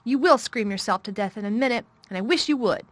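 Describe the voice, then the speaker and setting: A woman's voice: mid-range voice, normal speed, fairly bright tone, no echo, close to an everyday voice. A woman voicing a fierce, bossy little girl in a temper, scolding and commanding. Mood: furious.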